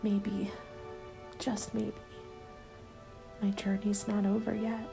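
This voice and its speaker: Slow, whispering woman narrating an ASMR story. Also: mid-range voice, monotone. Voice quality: soft, breathy, mostly whispered. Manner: slow, hushed, deliberate storytelling. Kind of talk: ASMR scripted first-person story narration. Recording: soft music under the voice. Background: instrumental music.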